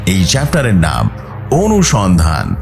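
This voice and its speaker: Male horror narrator, lowish pitch, hugely varied intonation, suspenseful stretched emphasis. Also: wildly swooping pitch, a little slow, gravelly, loud music behind the voice. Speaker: host of a horror story channel. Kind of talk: dramatic scripted horror storytelling.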